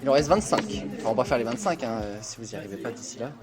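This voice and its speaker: Male quiz host, lively swooping intonation, mid pitch, playful and teasing, very loud overlapping voices. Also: rapid-fire, a crowd in the background. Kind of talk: casual, playful quiz hosting. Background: other voices talking over.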